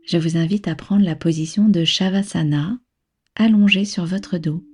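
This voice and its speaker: A woman with a soft, breathy voice leading a guided relaxation as a yoga nidra instructor, soothing, unhurried and gently instructing. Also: fairly low pitch. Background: background music.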